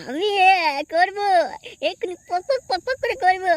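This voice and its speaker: Woman doing a very high-pitched brash cartoon village lad. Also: normal speed, dry recording. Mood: boastful, pushy. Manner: repetitive, bragging, quarrelsome. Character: brash, boastful young village man, foul-mouthed and pushy. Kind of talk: comic cartoon dubbing.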